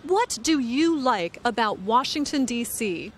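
This is a middle-aged woman reporter outdoors with a handheld mic. Her voice is high and lively, and she speaks with careful, upbeat clarity. Music plays behind her. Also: unhurried, a little hiss or hum. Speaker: reporter host of an English lesson. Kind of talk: slow, clear scripted interviewing. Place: outdoors on city streets. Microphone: handheld microphone.

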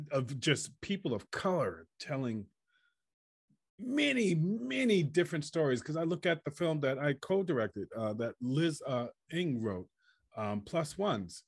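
A man chatting on a panel over a video call, halting and emphatic, repeating his phrases. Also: medium pitch, husky, no room sound, studio quality. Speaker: film director on a panel. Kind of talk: casual panel chat. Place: indoors on a group video call. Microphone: video call mic.

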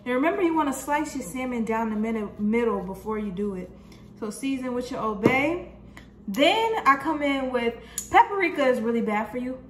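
A young American woman casually demonstrating cooking to viewers in a chatty, slangy way, recorded in a home kitchen. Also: on the high side. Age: young adult. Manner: informal, chatty, folksy slang. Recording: echoey kitchen with noticeable background noise.

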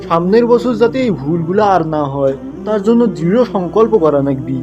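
A man's voice: high-pitched, lively intonation, a little fast, muffled recording, music in the background. A man with a Bogura accent from northern Bangladesh playing a sarcastic, moralizing schoolteacher in a comic cartoon, full of mocking rhetorical questions. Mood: stern, preachy. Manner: mock agreement, rhetorical questions, preachy. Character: sarcastic, moralizing male schoolteacher scolding his pupil. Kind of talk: comic cartoon dialogue turning into sermon.

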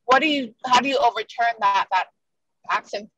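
Woman w spanish accent, native spanish speaker, highish voice, chatty questions on a group call. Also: normal speed, fairly bright tone, slightly rough, dull audio. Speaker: meeting participant, real estate agent.

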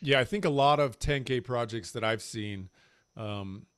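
A man in his forties hosts a panel over a video call, chummy and upbeat, easy with filler words. His voice comes through smooth and resonant on a close desk mic, bone dry with no room sound at all. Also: mid-range voice, a little slow, a bit bright, no echo. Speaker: panel host. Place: home room with shelves. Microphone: large desk mic on video call.

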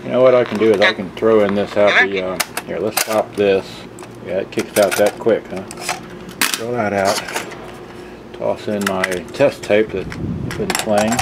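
A man's voice: on the low side, measured pace. A middle-aged man giving a casual hands-on product demonstration, rambling and thinking aloud in an offhand way. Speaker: gadget reviewer. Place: indoors at a table, handling devices. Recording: noisy room with steady background hum.

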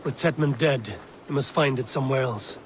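A man's voice: medium pitch, normal speed, slight background noise, close to an everyday voice. A man acting a blunt, impatient medieval warrior in a video game, with a brooding manner.

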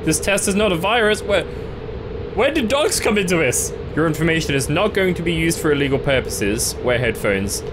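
A man's voice: fairly high pitch, lively intonation, moderate pace, noisy background. A young man in his twenties streaming a horror game on a headset mic, reading the screen aloud, joking and yelping at the scares. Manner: jokey, reads on-screen text aloud, laughs and yelps at scares. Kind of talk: horror game let's play commentary. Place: small dark room indoors.

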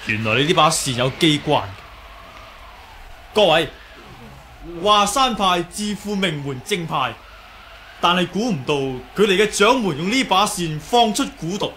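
An actor with a Hong Kong Cantonese accent playing a righteous, composed young martial-arts hero in a 1980s radio drama, speaking deliberately and accusingly. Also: male voice, sits high, animated, sharp tone, exaggerated voice. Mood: measured, exposing. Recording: faint hiss on old radio recording.

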